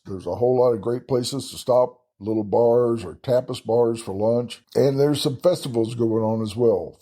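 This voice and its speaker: Texan guy chatting travel tips, folksy and easygoing, kinda husky. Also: medium pitch, dark tone. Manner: folksy, chatty, self-deprecating asides. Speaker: travel podcast host. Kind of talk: casual solo travel talk.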